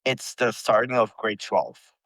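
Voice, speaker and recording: A young man from Thailand, a college freshman, answering interview questions carefully with many pauses and ums. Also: mid-range voice, fairly even intonation, a bit bright, dry recording. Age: young adult.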